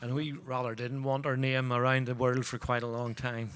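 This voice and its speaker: Man from Belfast with a softened accent. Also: mid-range voice, level tone, moderate pace, a little hiss or hum. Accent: faint Northern Irish, softened. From Northern Ireland. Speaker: panel speaker. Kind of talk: panel discussion.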